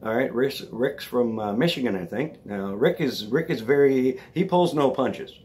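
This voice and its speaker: A man hosting his own vlog, slightly raspy from allergies, talking casually and rambling, often checking in with the viewer. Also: medium pitch, normal speed, darkish tone. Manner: chatty, rambling, checks in with "all right?". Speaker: vlog host. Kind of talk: casual talk to camera.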